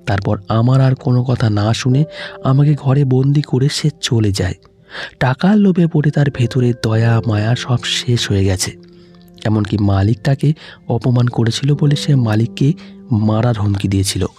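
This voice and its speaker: A male narrator reads a scripted, emotional first-person story. His voice is mid-pitched, soft-edged and mellow, over faint background music. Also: normal speed.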